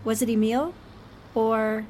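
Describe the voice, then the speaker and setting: A woman plays an earnest newspaperwoman in an old-time radio drama. Her voice sits fairly high and she reasons things out deliberately, sounding shaken yet determined. A faint rumbling noise runs underneath. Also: steady tone, darkish tone. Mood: troubled, pondering.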